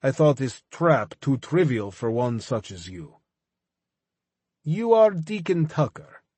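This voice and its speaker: A man voicing a taunting, fanatical male arms smuggler, higher and louder than his narration, with slow drawn-out gloating. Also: very animated, breathy edge, dry recording, full character voice.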